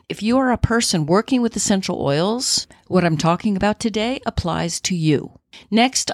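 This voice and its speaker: A woman's voice: medium pitch, no room sound, studio quality. A middle-aged woman hosting an aromatherapy podcast, sounding a little nasal from the tail end of a cold, chatting and teaching. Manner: chatty, warm asides, then reads definitions. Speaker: podcast host.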